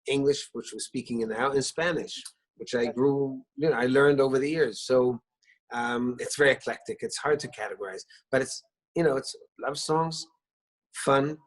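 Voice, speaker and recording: Israeli-born guy, hebrew is his first language, husky voice, keeps restarting mid-sentence, talking from his living room on a call. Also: mid-range voice, moderate pace, low-quality recording. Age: middle-aged. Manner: hesitant, many restarts and fillers, warm storytelling. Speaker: singer-songwriter guest. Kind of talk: casual interview over a video call.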